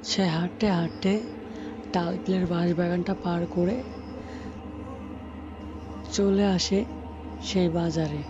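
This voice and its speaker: Male storyteller over clear background music. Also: sits high, little pitch movement, slow pace, long pauses. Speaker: narrator. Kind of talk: scripted storytelling narration for a cartoon. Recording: dull lo-fi audio under clear music.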